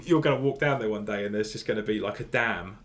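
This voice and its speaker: A man's voice: on the low side, fast. A man co-hosting a casual podcast from a kitchen, bantering dryly and laughing often. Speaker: podcast co-host. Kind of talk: casual chat. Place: home kitchen.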